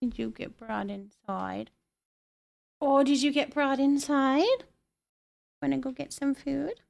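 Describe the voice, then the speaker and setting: A woman's voice: high-pitched, takes it slowly, vocal fry, a little mellow, dry recording. A woman hosting a live game stream, chatting casually and thinking aloud as she goes.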